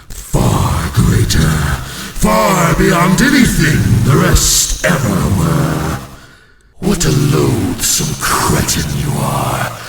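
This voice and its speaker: A man's voice: medium pitch, creaky, full character voice. A man playing a grandiose, vengeful monster villain in a horror game, pompous and menacing, speaking in archaic, theatrical language. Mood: cold contempt. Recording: echoey reverberant hall, distant, loud noise.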